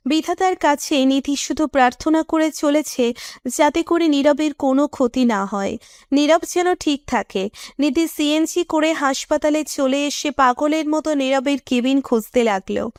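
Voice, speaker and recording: A woman's voice: high-pitched, a little mellow, no room sound, clean studio sound. A woman narrator reading a scripted romantic story.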